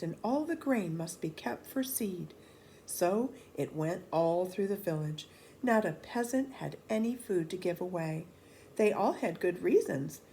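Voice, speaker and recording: A woman narrating a storybook for children in an expressive, deliberate, animated way. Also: mid-range voice, a little hiss or hum. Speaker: children's read-aloud storyteller.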